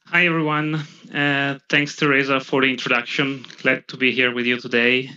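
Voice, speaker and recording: A man in his thirties with a slight Italian accent, presenting in a webinar from a home office over a video call, often halting with fillers and restarts. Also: mid-range voice, level tone, sharp tone. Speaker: product marketing head presenting. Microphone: webcam video call. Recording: dull lo-fi video call audio.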